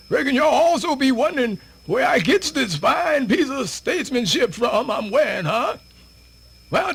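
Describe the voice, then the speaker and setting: An actor playing a spirited, folksy elderly man with a Southern US accent, rambling and stammering through a radio theatre monologue in a raspy voice. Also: fairly bright tone, full character voice. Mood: folksy, proud. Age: older. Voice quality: raspy, creaky, high-pitched.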